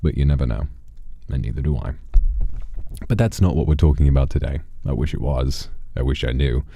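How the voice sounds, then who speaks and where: A man telling a first-person story to a livestream audience in a theatrical, deliberate way, with dramatic pauses. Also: extremely low pitch, vocal fry, mellow, no echo, muffled recording.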